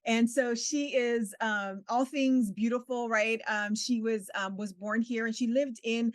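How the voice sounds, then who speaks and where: A woman's voice: a little high, fairly even intonation, measured pace, no echo. A middle-aged woman from Southern California whose first language is Spanish, speaking earnestly as an interview guest, laughing often and using many fillers. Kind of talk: interview conversation.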